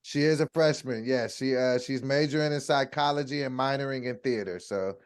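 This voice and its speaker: A man in his forties, a guest comedian on a podcast, talking in a relaxed way and laughing easily. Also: medium pitch, fairly quick, smooth. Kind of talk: casual podcast chat.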